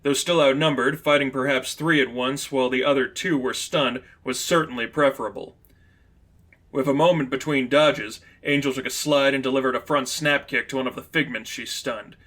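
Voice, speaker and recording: A man's voice: mid-range voice, steady tone, normal speed, a bit bright. A man reading scripted fiction aloud as the narrator of an action story. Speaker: narrator, reader.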